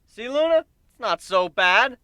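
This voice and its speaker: A man's voice: extremely high pitch, lively intonation, a little slow, sharp tone, heavy reverb, distant mic, voice pushed up, exaggerated voice. A man voicing a cocky, teasing male griffin showman in a dramatic story reading. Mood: teasing, bossy. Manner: teasing, brash.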